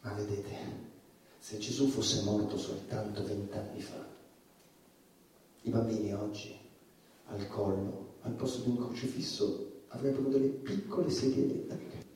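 An actor playing a provocative, agitated stand-up satirist on trial, delivering an emphatic theatrical monologue that swings between muttering and shouting. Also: male voice, fairly low pitch, steady tone, measured pace, pauses often, a little hiss or hum, natural voice. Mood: somber, ironic. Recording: echoey, distant stage recording with music.

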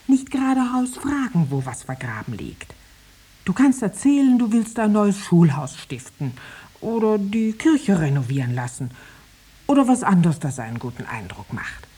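A woman's voice: mid-range voice, sing-song, moderate pace, warm tone, husky. A woman playing a greedy, nagging farmer's wife in a 1950s radio play, urging and coaxing in a conspiratorial way. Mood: coaxing, impatient.

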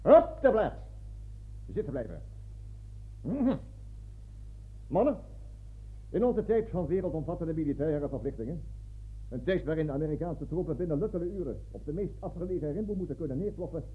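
An actor playing a bossy, pompous army colonel who lectures his troops in a satirical radio play. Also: high voice. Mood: stern, commanding. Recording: dull lo-fi old radio recording.

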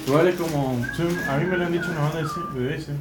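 A man's voice: on the high side, steady tone, quick pace, heavy background noise. A male student with a Mendoza Argentine accent, joking around in casual classroom chat. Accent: Mendoza Argentine Spanish. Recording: echoey room with loud crowd chatter.

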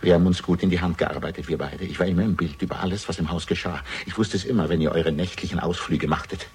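A man's voice: deep voice, steady tone, fast talker, darkish tone, a little hiss or hum. An actor playing an arrogant, gloating older murderer and proud father in a theatrical German radio crime drama, sneering and grandiose. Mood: gloating confession. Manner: theatrical, grandiose, sneering, swinging between murmur and outburst.

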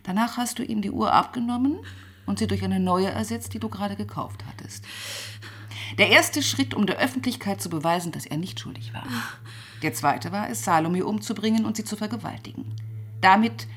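An actress playing a weary, relentless police detective who also narrates in a radio crime drama, intense and often dropping to a whisper. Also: female voice, medium pitch, a little fast, slightly rough. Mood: pressing, accusing.

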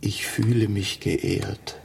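A man playing a formal, deferential, long-serving butler in a radio drama, speaking in a measured, servile manner with a gravelly voice. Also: fairly low pitch, steady tone, a little mellow, a little hiss or hum, full character voice. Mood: deferential, reserved.

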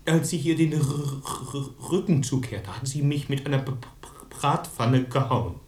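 An actor playing a timid, wounded young outsider in a radio drama, speaking haltingly with a heavy stutter. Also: male voice, mid-range voice, darkish tone, echoey room, natural voice. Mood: earnest, halting.

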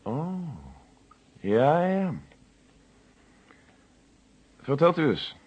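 An actor playing a stern, probing police inspector in a radio play, questioning in a formal, sceptical, methodical way. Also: male voice, a little high, expressive, very slow, a little mellow, husky, exaggerated voice. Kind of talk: Dutch radio play acting. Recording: dull, lo-fi old radio recording.

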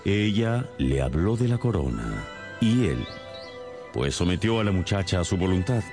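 A gentleman detective in a radio drama, deep-voiced and very expressive. He is confident and methodical, putting things with polite, measured authority over a music bed. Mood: grave, firm.

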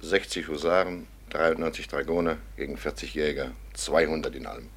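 Loyal robber henchman, low-pitched and hard-edged, blunt radio-drama delivery. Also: fairly even intonation, normal speed, a bit bright, full character voice. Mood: grave. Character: blunt, hot-blooded robber henchman, loyal to his captain. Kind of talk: classic German radio play acting.

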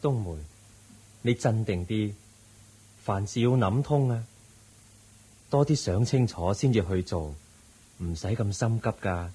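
A man playing a calm, patient Buddha in a radio drama, gently admonishing in a slow, steady way. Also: lowish voice, breathy edge. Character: serene, patient male Buddha, a wise teacher. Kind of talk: Cantonese radio drama acting.

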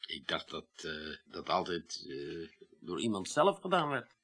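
An actor playing a polite, curious police investigator in a radio play, asking questions in a tentative, halting way. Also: male voice, low voice, huge pitch swings, fairly bright tone, slightly rough, full character voice. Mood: hesitant, puzzled. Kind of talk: 1980s Dutch radio play acting.

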